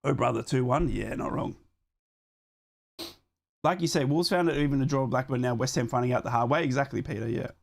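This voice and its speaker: A hoarse man streaming a football watchalong, talking casually at a middle pitch as he reads out scores and thanks viewers for donations. He sounds a little sick, his voice wearing thin. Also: fairly quick, long pauses. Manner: chatty, reads live scores and donations aloud, shouts on donations. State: losing his voice, a bit sick. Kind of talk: casual live football commentary and chat.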